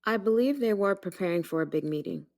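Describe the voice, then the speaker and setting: A female detective giving evidence in a courtroom drama. Her voice is mid-pitched. She sounds composed and straightforward, answering with care. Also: animated, quick pace, gravelly, a little mellow. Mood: cooperative. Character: composed female detective witness, plain and cooperative. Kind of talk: courtroom audio drama acting.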